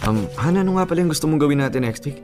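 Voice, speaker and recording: A man's voice: fairly high pitch, animated, rapid-fire, lightly acted. A man playing a jealous, possessive boyfriend in a radio drama, hurt and insistent as he questions and pleads. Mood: coaxing. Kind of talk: Filipino radio drama acting. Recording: music bed under the voice.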